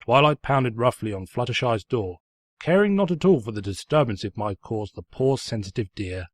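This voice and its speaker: A man's voice: mid-range voice, expressive, normal speed, no echo, clean studio sound, lightly acted. A man playing a sarcastic, pompous narrator who mockingly tells a comic fan fiction story. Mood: smug. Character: snide, mocking male storybook narrator who insults the heroine.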